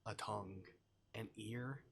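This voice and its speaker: A man's voice: on the low side, slow pace, fairly bright tone, slight background noise. A man live streaming, reading a visual novel aloud and breaking off for informal, jokey asides. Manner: informal, jokey, swearing, reacting to the story.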